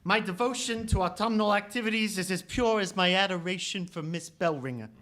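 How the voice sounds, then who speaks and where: A man's voice: high voice, brisk, fairly bright tone. A man playing a defensive, earnest, smitten suspect in a 1940s radio play, with flowery protests. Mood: indignant, earnest.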